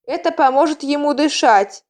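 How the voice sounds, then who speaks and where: Storybook male cat healer, read by a woman: brisk, instructive, firm, voice on the higher side. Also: darkish tone, lightly acted. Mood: brisk, commanding. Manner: commanding, instructive.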